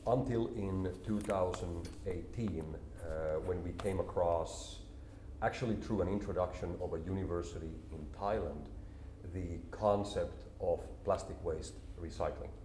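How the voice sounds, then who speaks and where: A man, a company CEO explaining his work calmly and in a measured way in an interview. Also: low-pitched, slight background noise. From Finland. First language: Finnish. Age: 40s. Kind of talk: business interview. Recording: roomy echo, faint ambience.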